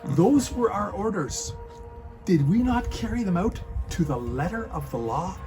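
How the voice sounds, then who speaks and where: A stern Roman soldier played by a man in a passion play, with a fairly high voice and a declamatory, scolding manner. Loyal and impatient, he speaks over clear background music. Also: big pitch swings, a little slow. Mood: irritated, scolding. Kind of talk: dramatic reading of a church passion play.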